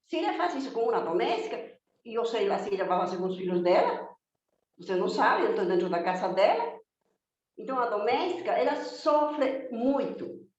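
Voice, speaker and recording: A non-native woman speaks with fierce conviction, hammering her points home through rhetorical questions and long lists. Her voice sits a little high and rings with heavy echo. The recording is dull and lo-fi, with faint noise underneath. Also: big pitch swings, a little fast, a little mellow. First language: not Portuguese, learned it on her own. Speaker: guest speaking for domestic workers. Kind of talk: impassioned spoken testimony. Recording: very echoey, dull lo-fi remote audio with faint noise.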